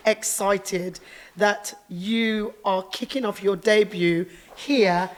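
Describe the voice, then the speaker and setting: A woman's voice: mid-range voice, fairly even intonation, slow pace. A woman hosting a casual interview, asking questions in an enthusiastic, effusive way. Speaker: podcast host, interviewer.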